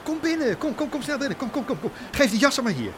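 An actor in a radio drama playing an eager, fussy, chatty bed and breakfast host who hesitates and repeats himself. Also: male voice, very high voice, very animated, fast, heavy background noise. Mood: eager, welcoming. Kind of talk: naturalistic radio drama acting.

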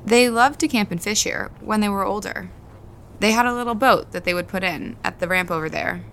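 A woman's voice: medium pitch, a little fast, a bit bright, close to an everyday voice. Casting: female actor as practical, slightly guarded woman, conversational audio drama delivery over faint ambient noise. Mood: reflective. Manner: matter-of-fact, conversational. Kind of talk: naturalistic audio drama dialogue.

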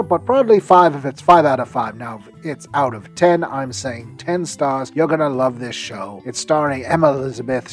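A man hosting a podcast promo as an excitable, emphatic pitchman who repeats himself for effect. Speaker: podcast host. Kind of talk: hype-filled podcast promo.

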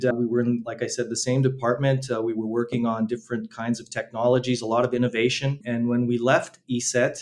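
Flat-toned Canadian man, businesslike. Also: medium pitch. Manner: steady, businesslike and promotional, with some restarts. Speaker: startup co-founder, guest. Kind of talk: conversational interview.

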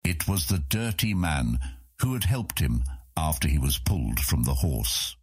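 A man's voice: a bass voice, very animated, measured pace, a little mellow, slightly rough, no echo, clean studio sound. A man reading scripted fantasy narration as an audiobook narrator.

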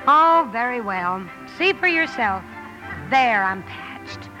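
A woman's voice: fairly high pitch, animated, low-quality recording. A woman acting a blunt, hearty tomboyish young woman, impatient with elegance, in a 1950s radio drama. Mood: wry, exasperated. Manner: brisk, hearty, forthright. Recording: old radio broadcast, faint music behind.

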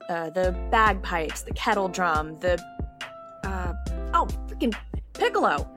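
A woman's voice: lowish voice, very animated, slow pace, music in the background. A woman playing a petty, indignant neighbor in a wry, theatrical yet conversational comic monologue. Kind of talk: comic monologue in audio drama.

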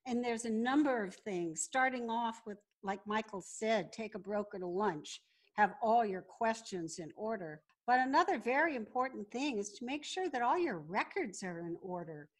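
A woman's voice: fairly high pitch, animated, normal speed. A woman speaking as an interview guest, laying out business advice in a conversational, instructive and methodical way. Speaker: podcast guest, business founder.

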